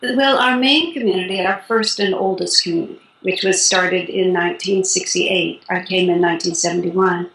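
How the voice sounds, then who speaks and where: Older lady, spiritual teacher, easy unhurried talk, roomy sound. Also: fairly low pitch, gravelly. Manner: calm, unhurried explaining with light laughs. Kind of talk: interview guest. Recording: roomy echo.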